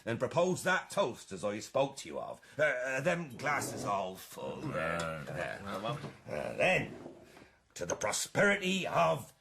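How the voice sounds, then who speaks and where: An actor playing a bluff, self-satisfied farmer in a radio drama, gravelly and rambling. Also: male voice, medium pitch, sing-song, slow, fairly bright tone, a little hiss or hum, heavily acted. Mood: jovial, blustering. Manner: blustering, rambling after-dinner speaker.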